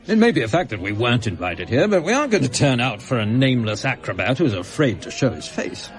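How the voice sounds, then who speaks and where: An actor as brusque, suspicious major, lively inflection. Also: male voice, mid-range voice, quick pace, faint music behind, close to an everyday voice. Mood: scornful. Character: brusque, worldly, suspicious major of shady repute. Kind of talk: British radio drama acting.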